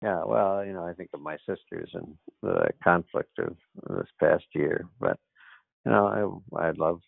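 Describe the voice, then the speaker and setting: A man in casual conversation answering hesitantly, halting with many fillers. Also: sits low, gravelly, dry recording. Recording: tinny phone-like line, dry.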